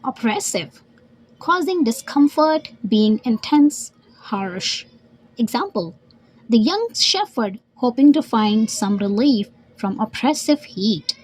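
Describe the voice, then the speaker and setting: A woman's voice: fairly high pitch, sing-song, takes it slowly, rough recording. A woman reading a scripted educational story as narrator and vocabulary teacher.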